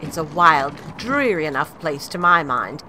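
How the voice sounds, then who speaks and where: A woman playing a stern, brisk middle-aged housekeeper in an audio drama. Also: deep voice, expressive, noisy background. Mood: matter-of-fact. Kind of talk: amateur audio drama acting.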